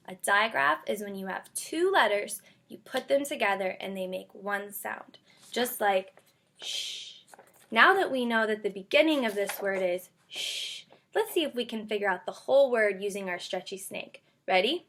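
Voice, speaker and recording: A woman's voice: mid-range voice, a bit bright. A woman speaking as an elementary school teacher to young children, guiding them through a read-aloud phonics lesson with questions, repetition and carefully sounded-out words. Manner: stretches out and sounds out words slowly.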